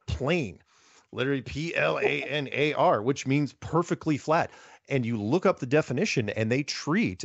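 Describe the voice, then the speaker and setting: Male interview host, lively pitch swings, emphatic and conversational, slightly lo-fi audio. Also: creaky, no room sound. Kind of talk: conversational interview with asides to listeners.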